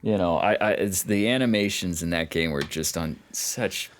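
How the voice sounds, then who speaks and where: A man's voice: low-pitched, moderate pace. A man co-hosting a podcast, chatting casually as he presents video game clips. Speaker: podcast co-host.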